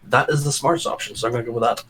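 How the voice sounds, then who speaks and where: A man's voice: mid-range voice, steady tone, very fast, a bit bright. A man joining a game stream, bantering casually in a dry, joking way. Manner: dry, joking, laughs often. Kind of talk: casual let's play banter.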